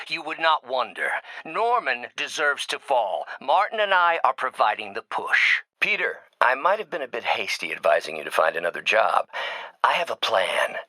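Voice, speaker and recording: A man's voice: medium pitch, bright, forceful tone, no room sound, rough recording. A male actor playing a brilliant, proud and embittered middle-aged scientist and mentor turning villain in a video game, with articulate, theatrical delivery. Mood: warm, reflective. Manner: articulate, theatrical, erudite phrasing. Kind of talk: dramatic scripted game dialogue.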